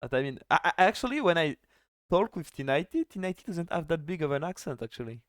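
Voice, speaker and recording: A man's voice: a little high, very animated, fast, dry recording, clean studio sound. A French man casting a strategy game in casual chat, laughing often and restarting his sentences. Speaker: game caster.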